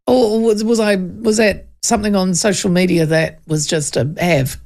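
A woman's voice: mid-range voice, a little mellow. A woman with a New Zealand accent hosting a talkback radio show, chatting casually, reading listener texts aloud, laughing often and poking fun at herself. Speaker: talk radio host. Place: radio studio. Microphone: studio microphone.

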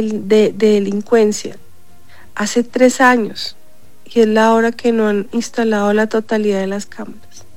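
A woman speaks insistently in a radio interview, pressing and repeating her points. Her voice sits fairly high and sounds congested and stuffy. The sound is dry and close, with faint music behind. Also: a little mellow, clear, resonant tone, no room sound. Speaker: city councillor.

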